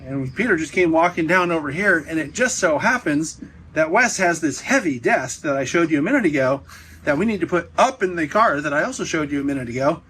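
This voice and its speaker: A man's voice: on the high side, animated, fairly quick, fairly bright tone, a little hiss or hum, poor audio. A man hosting a vlog about railway preservation, talking casually and enthusiastically to camera outdoors at a rail yard. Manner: chatty, enthusiastic tour-guide talk. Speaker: host documenting railway preservation.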